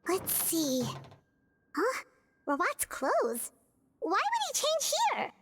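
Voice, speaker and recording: Squeaky, very high childlike companion. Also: female voice, huge pitch swings, takes it slowly, fairly bright tone, slight background noise, full character voice. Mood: curious. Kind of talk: scripted video game voice acting.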